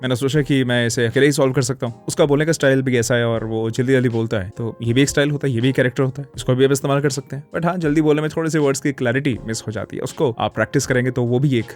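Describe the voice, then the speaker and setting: A man's voice: mid-range voice, fairly even intonation, very fast, few pauses, darkish tone, faint music behind. A man explaining techniques as a voiceover coach. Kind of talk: instructional explanation with demonstrations.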